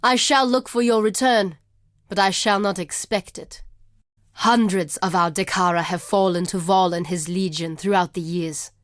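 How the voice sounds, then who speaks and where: A woman playing a proud, wary desert tribeswoman warrior in a video game, speaking in a solemn, measured and formal way. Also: lowish voice, fairly even intonation, dry recording, studio quality, natural voice. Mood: guarded, somber. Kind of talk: video game character dialogue.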